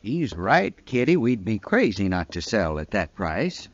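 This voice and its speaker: Easygoing saloon partner, low coaxing voice, old radio drama, dull sound with faint noise. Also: male voice, sing-song, measured pace. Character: persuasive, easygoing male saloon business partner. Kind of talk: 1950s radio western acting. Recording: dull, faintly noisy vintage radio recording.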